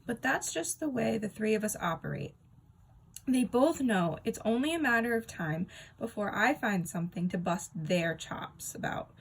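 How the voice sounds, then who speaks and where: Slightly husky woman reading a storybook. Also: medium pitch, very animated, moderate pace, low-quality recording. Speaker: storybook reader. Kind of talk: expressive children's read-aloud narration.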